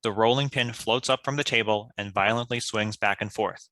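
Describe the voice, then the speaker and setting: A man's voice: lowish voice, moderate pace, pushed and bright, no room sound. A man reading scripted stage directions as a narrator.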